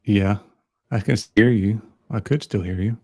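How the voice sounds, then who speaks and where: A man with a Southern American accent, chatting casually on a livestream in a calm, soothing way. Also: fairly low pitch, unhurried, creaky. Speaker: guest on a livestream. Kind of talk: casual chat.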